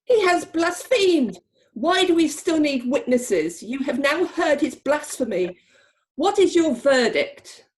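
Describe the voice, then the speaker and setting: A woman playing an outraged, imperious high priest in a dramatic scripture reading. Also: very animated, takes it slowly, heavily acted. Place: online video meeting from home.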